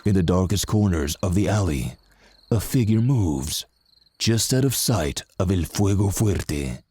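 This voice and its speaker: An actor playing a theatrical, ominous announcer narrating a pulp radio serial. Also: male voice, sits low, lively intonation, measured pace, mellow, husky, heavily acted. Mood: suspenseful. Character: dramatic old-time serial announcer, grave and suspenseful.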